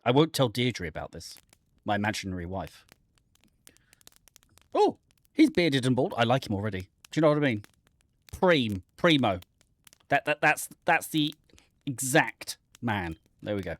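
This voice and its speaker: A man's voice: mid-range voice, sing-song, moderate pace, pauses often, a bit bright. A man giving casual, theatrical game commentary with a jokey manner, slipping into dramatic reading. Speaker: game streamer presenting a first look. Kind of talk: casual playthrough commentary mixed with dramatic reading of on-screen narration.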